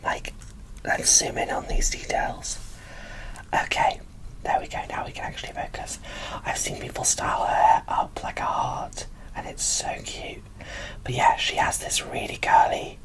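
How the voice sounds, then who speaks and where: A woman whispering casual, gushing ASMR commentary as she presents an unboxing, in a breathy, informal way. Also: poor audio.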